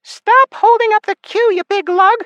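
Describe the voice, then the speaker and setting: A man's voice: extremely high pitch, fairly even intonation, moderate pace, bright, forceful tone, dry recording, lo-fi sound, voice pushed up. A man voicing a tiny, bad-tempered fairy girl who shrieks and hisses insults in an audiobook. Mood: indignant, scolding.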